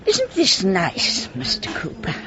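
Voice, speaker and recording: A woman's voice: medium pitch, huge pitch swings, slow, background noise. An actress playing a gracious, kindly lady in her eighties with an upper-class English accent, in a radio drama. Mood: gracious, wistful. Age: 80s. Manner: gracious, refined, effusive. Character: genteel, kindly elderly widowed lady employer. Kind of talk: British radio drama acting. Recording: muffled vintage radio recording.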